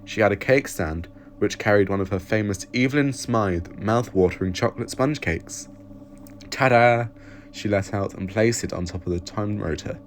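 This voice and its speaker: A man's voice: lowish voice, normal speed, few pauses, slight background noise. A man reading scripted narration for an audio story. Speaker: audiobook reader.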